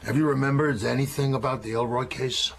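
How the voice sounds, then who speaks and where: An actor playing a seasoned retired homicide detective in a radio drama, measured and matter-of-fact. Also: male voice, medium pitch, fairly even intonation, creaky, a crowd in the background, lightly acted. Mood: probing. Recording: heavy background noise with ambience.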